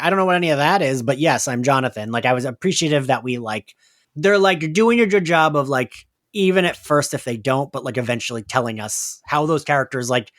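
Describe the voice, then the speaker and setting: Man, podcast host, lively swooping intonation, slightly high voice, casual rambling recap, dry close sound. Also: dry recording. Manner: animated, frequent filler "like", quick to laugh. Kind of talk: casual recap chat about an audio drama.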